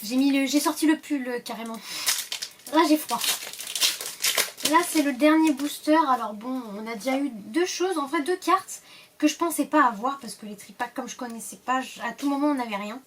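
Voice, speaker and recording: A woman's voice: high voice, normal speed, distant mic, noisy background. A woman with a cold, casually opening card packs and reading the card names aloud, often hesitating and trailing off. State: stuffy from a cold. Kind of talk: casual pack-opening commentary.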